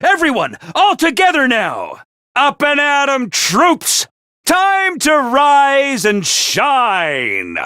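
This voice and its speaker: A hyper, gleeful game mascot who commands troops, his very high voice swooping wildly up and down. He drags out his words slowly and theatrically, with a sharp, tense tone. The studio sound is dry and close. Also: wildly swooping pitch, no echo, exaggerated voice. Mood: excited urgency. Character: manic, mischievous small male academy mascot commander. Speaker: game character voice lines. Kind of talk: game voice acting.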